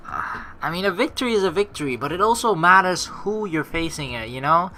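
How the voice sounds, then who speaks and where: A man's voice: high-pitched, expressive, fairly quick, bright, forceful tone, a little hiss or hum. A man doing casual, rambling, emphatic gaming commentary, swearing now and then, with game music behind him. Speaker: let's play streamer. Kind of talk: gaming commentary, reading game text aloud. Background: game background music.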